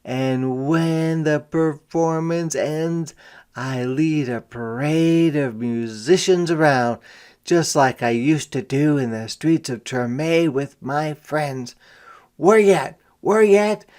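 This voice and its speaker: A man reading a picture book aloud to young children as a teacher, with expressive, deliberate delivery and simple explanations. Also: a little high, smooth. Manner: dramatic, deliberate delivery with emphasized character lines.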